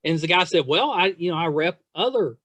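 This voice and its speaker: A middle-aged man hosting a podcast, chatting and telling stories in an excitable, effusive way, repeating phrases for emphasis. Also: on the high side, a little fast, dry recording. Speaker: podcast host interviewing a guest. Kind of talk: casual chat, storytelling.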